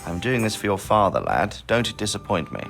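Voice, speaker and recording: A man playing a measured, dryly wry middle-aged medieval lord in a dramatic video game scene. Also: on the low side, big pitch swings, vocal fry, fairly bright tone, faint music behind, exaggerated voice. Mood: measured, stern.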